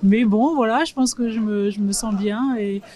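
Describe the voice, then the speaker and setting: Woman, 60s, passer-by: mellow, dark voice, slow to find her words. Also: mid-range voice.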